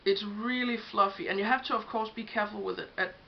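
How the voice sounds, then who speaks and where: A woman's voice: mid-range voice, quick pace, creaky. Danish-accented young woman, chatty makeup vlog. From Denmark. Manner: chatty, hesitant, many ums while showing products. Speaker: makeup vlogger. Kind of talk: casual beauty tutorial. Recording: dull lo-fi home recording with faint hiss.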